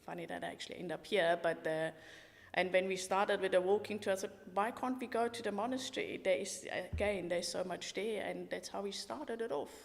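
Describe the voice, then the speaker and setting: A woman's voice: mid-range voice, a little fast, vocal fry. A middle-aged woman from Switzerland with a Swiss accent, a walking tour guide talking in a podcast interview in an enthusiastic, matter-of-fact way. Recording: roomy hall-like reverb.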